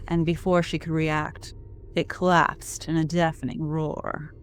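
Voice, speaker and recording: A woman narrating an audio drama in a slow, deliberate, grave way. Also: fairly low pitch, darkish tone. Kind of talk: scripted narration.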